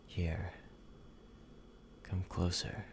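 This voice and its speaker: Deep-voiced obsessive vampire lover, played by a man, slow, flat, hushed ASMR delivery. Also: background noise, exaggerated voice. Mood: intimate. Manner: soft, intimate, slow and controlling, slipping into whispers. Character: possessive male yandere vampire, obsessive and menacing. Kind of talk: ASMR roleplay.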